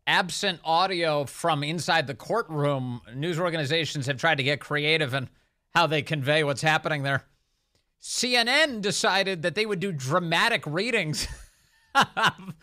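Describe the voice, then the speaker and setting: A man's voice: a little high, bright, forceful tone. A man hosting political talk radio, commenting conversationally with an incredulous, mocking edge.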